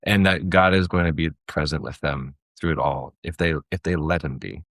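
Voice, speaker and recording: A man with a low voice talks as an interview guest. He is earnest and conversational, and the sound is dry and close. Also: steady tone, normal speed, fairly bright tone, no room sound. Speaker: podcast guest, audio drama creator.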